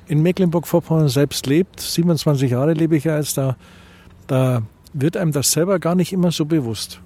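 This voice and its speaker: Bavarian man, mellow, hesitant. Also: fairly high pitch, slightly rough. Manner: hesitant, many fillers and restarts. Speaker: tourism association president. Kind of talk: interview answers.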